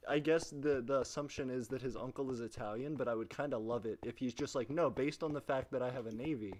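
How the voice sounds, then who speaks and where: A man's voice: medium pitch, quick pace, a little mellow. A man hosting a gaming stream, chatting casually and reading out comments. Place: online group voice call.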